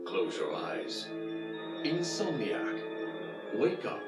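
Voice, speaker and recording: Slow male game narrator over music. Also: medium pitch, big pitch swings. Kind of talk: slow scripted game instructions. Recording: roomy echo, music behind.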